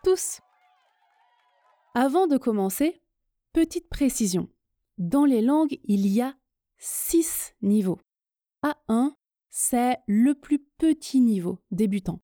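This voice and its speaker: A woman from France hosting a podcast lesson, speaking clearly and encouragingly to her listeners. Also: fairly high pitch, lively intonation, dark tone, no echo, clean studio sound. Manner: slow, clear and encouraging, addressing listeners directly. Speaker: French teacher and podcast host.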